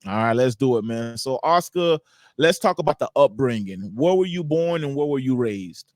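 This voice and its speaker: A man's voice: medium pitch, expressive, normal speed, no room sound, clean studio sound. A man hosting a casual hip hop podcast interview in an upbeat, slangy way. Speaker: podcast host.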